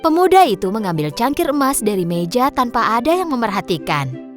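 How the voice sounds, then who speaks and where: A woman narrating a children's fairy tale in a lilting, expressive storybook manner. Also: a little high, brisk. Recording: clear music bed underneath.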